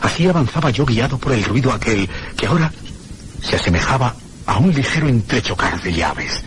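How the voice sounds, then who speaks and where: Low-voiced, haunted horror narrator. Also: male voice, fairly bright tone. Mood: solemn, suspenseful. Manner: solemn, suspenseful, deliberate dramatic phrasing. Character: male narrator haunted by terror, solemn, dramatic. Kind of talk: radio horror drama narration. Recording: noisy radio broadcast recording.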